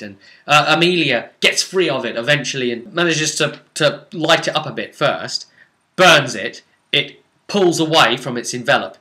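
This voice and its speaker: A man's voice: a little high, bright, forceful tone. A man casually recapping a tabletop role-playing session as game master, telling it dramatically in a rambling, vivid way. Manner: dramatic, rambling retelling with vivid action descriptions. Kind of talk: casual animated storytelling.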